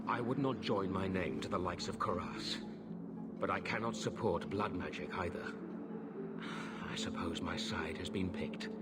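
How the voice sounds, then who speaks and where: A man's voice: low-pitched, close to an everyday voice. A man playing a conscientious, weary Templar knight in a video game, grave and regretful, with a solemn, reluctant manner. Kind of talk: dramatic video game acting.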